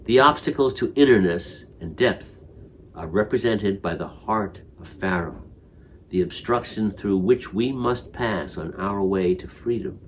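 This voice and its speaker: Low-voiced man reading reflectively. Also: a little slow, slight background noise. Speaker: reader of Torah commentary. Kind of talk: reading a spiritual text aloud.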